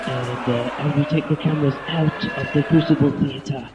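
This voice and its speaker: Halting snooker commentator played by a teenage boy. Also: mid-range voice, fairly even intonation, loud music behind the voice, pitched below the performer's own voice, natural voice. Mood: bemused, incredulous. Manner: measured, halting, incredulous. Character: hushed, bemused male snooker TV commentator. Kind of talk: spoof sports commentary. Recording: dull, noisy lo-fi home tape.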